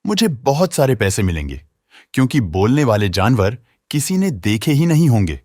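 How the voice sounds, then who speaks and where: A woman's voice: a bass voice, big pitch swings, moderate pace, warm tone, no room sound, clean studio sound, voice pushed down, full character voice. A woman voicing a scheming thieving male neighbour in a children's story. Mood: greedy, scheming. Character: scheming male neighbour, a thief turned into a cat.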